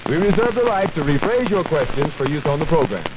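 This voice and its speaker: A man's voice: a little high, big pitch swings, a little fast, very noisy. An American man reading a scripted announcement in 1940s radio style, on a badly worn disc.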